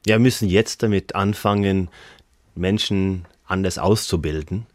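A man's voice: deep voice, unhurried, dry recording, studio quality. A man with a Norwegian accent, a German-speaking guest on a radio interview, talking earnestly with many hesitations. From Norway.

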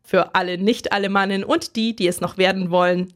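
A female radio reporter reads her scripted feature narration clearly and at a measured, even pace. Her voice sits at a middle pitch, neither high nor low.

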